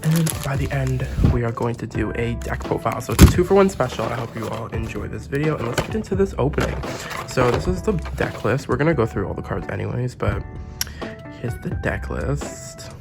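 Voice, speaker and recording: A man with a sore throat and a hoarse, sick voice, chatting casually as he unboxes and reviews a card game deck. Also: medium pitch, lively intonation, fairly quick. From Canada. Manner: chatty, gushing over cute packaging. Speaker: trading card game YouTuber. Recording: music playing underneath.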